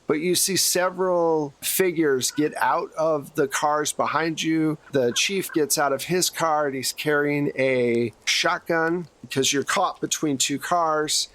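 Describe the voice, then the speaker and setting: A man with a somewhat high voice acts as game master for a tabletop roleplaying game. He narrates scenes and addresses players conversationally, shifting between storytelling and hosting. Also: fairly bright tone. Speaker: game master and podcast host.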